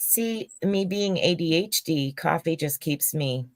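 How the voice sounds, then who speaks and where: A middle-aged woman on a casual live panel, telling stories in an animated, laughing way. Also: low-pitched, unhurried, dry recording.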